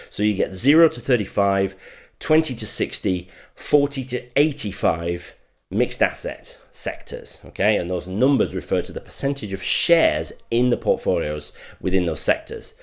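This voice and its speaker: A middle-aged man with a Yorkshire accent, a finance video host chatting casually to camera in an upbeat, explanatory way. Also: on the low side. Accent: Yorkshire English. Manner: chatty and upbeat, lively intonation.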